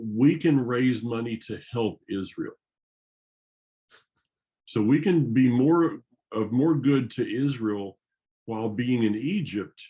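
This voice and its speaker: A man's voice: mid-range voice, mellow. A man in a casual discussion as interviewer, heard through dull, lo-fi audio.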